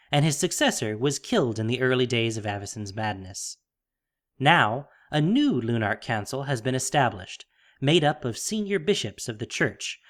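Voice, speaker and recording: Male narrator, medium pitch, dramatic scripted delivery for a fantasy audio story. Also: a bit bright.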